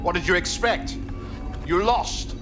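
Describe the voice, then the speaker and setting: An actor playing a cynical captive politician in a video game, speaking in a condescending, oratorical way. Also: male voice, sits high, a little slow, music in the background. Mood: defiant, bitter. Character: captive politician, cynical smooth-talking collaborator. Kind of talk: dramatic game cutscene acting.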